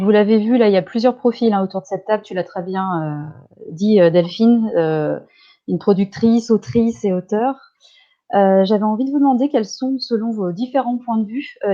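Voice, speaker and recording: A woman's voice: medium pitch, dark tone. A woman moderating a panel discussion in an informal, conversational way. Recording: slightly muffled, dull sound.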